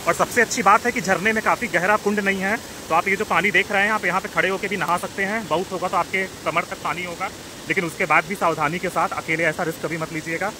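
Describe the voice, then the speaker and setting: A man's voice: sits high, fairly even intonation. A man narrating a walking travel vlog outdoors, addressing viewers as friends in an earnest, concerned way. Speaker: travel vlogger. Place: outdoors at a waterfall and river. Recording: outdoor phone audio with loud waterfall noise. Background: rushing water.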